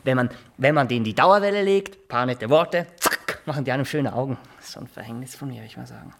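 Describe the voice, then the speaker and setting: Swiss barber in a radio drama, nervous rambler, stumbles over words, keeps justifying himself and bragging. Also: male voice, mid-range voice, expressive, creaky, a bit bright, a little hiss or hum. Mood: defensive, smug. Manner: rambling, halting, repeats himself, keeps adding tag questions. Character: small-town barber, nervous, self-justifying, boastful executioner applicant. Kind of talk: naturalistic radio drama acting.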